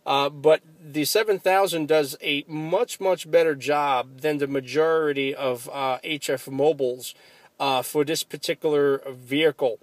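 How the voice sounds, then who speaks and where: A man's voice: fairly high pitch, steady tone, measured pace, dry recording. An American man in a casual, chatty ham radio conversation, speaking with enthusiasm from inside a car. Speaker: amateur radio operator.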